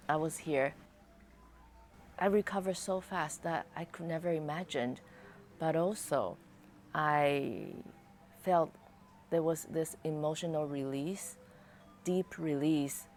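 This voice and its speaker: A woman giving a personal testimonial in a halting, drawn-out way. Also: deep voice, a little mellow.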